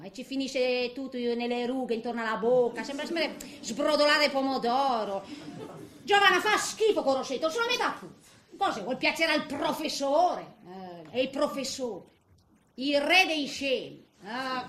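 A woman's voice: high voice, reverberant. An actress playing a feisty, grumbling woman of eighty in a theatrical comic monologue, with a Tuscan accent and muttered, exclamatory asides. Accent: Tuscan Italian. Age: older. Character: feisty, grumbling eighty-year-old woman in a nursing home.

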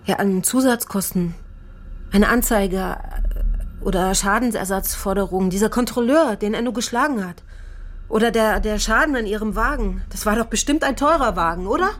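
A woman's voice: medium pitch, normal speed, slight background noise, lightly acted. An actress playing a bitter, worn-out middle-aged working mother in a radio drama, plain-spoken, reproachful and emotionally raw. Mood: wary, anxious. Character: bitter, exhausted working mother of an injured teenage son. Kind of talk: naturalistic radio drama acting.

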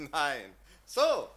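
A man's voice: a little high, full character voice. An actor playing a simple, cheerful, deferential boatman in a German radio drama. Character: simple, cheerful boatman serving a young gentleman. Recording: loud background noise.

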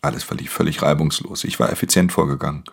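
A man playing a world-weary, guarded pickpocket who narrates a radio crime drama in an intimate, gravelly voice. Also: very deep voice, steady tone, fast, exaggerated voice. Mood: brooding, tense.

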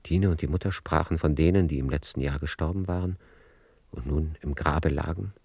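A man narrating a literary radio play in a measured, sober storytelling manner. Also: extremely low pitch, creaky, a little mellow, breathy edge, dry recording.

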